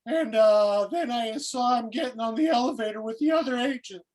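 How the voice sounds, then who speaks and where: A woman's voice: on the high side, a little mellow, lightly acted. A woman acting a flustered, hesitant young male security guard in a script table read. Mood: flustered, defensive. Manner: halting, unsure.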